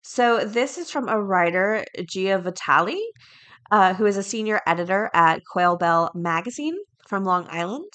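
A woman's voice: medium pitch, expressive, moderate pace, a bit bright. A woman hosting a podcast solo, talking casually and chattily, with informal asides and items read aloud. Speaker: podcast host.

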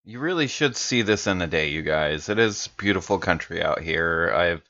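A man's voice: low-pitched, lively intonation, normal speed, pushed and bright. A man playing a tabletop game, improvising casually in character, on a slightly dull recording.

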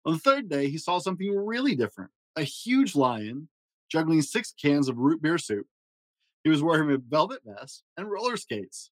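A man reading a children's fairy tale aloud in a gentle storytelling manner. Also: big pitch swings, normal speed, no echo, low-quality recording. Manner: gentle storytelling with varied pitch for emphasis. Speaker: storybook reader.

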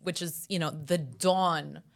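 Lively, wry woman telling stories. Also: deep voice, takes it slowly, vocal fry. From USA. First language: Italian. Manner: lively storyteller, wry and self-mocking. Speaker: guest. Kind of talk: casual interview chat.

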